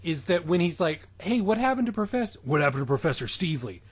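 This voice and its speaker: Husky man with lively, swinging intonation. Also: fairly high pitch, fast. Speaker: podcast co-host. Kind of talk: comedic dramatic reading with banter.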